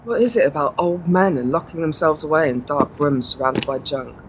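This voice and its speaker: A woman's voice: deep voice, moderate pace, background noise, natural voice. A woman playing a sardonic, bored teenage girl in a radio play, dismissive and sceptical in manner. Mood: scornful, grumbling. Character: sarcastic, sceptical, bored teenage granddaughter about to leave for university.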